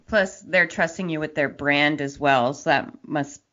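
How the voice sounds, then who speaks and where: Woman podcast host with lowish voice. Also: unhurried, a bit bright. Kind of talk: conversational interviewing. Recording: dull, band-limited lo-fi sound.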